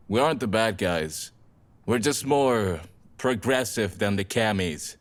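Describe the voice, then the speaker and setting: A man's voice: fairly low pitch, natural voice. A man playing a laid-back, blunt rebel vampire in an audio drama, talking in casual slang. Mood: assured.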